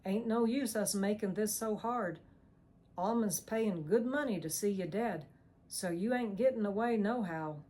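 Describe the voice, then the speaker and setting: A woman's voice: medium pitch, a little slow, pitched above the performer's own voice, lightly acted. A woman narrator voicing a cruel male hired killer who mocks and threatens in crude slang. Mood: coaxing. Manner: mocking, crude backwoods slang, shouting threats.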